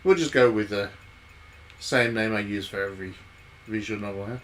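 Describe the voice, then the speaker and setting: A man's voice: lowish voice, normal speed. A man giving casual live commentary as he streams a game, rambling and thinking aloud. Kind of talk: casual live commentary on a visual novel playthrough. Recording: steady background noise.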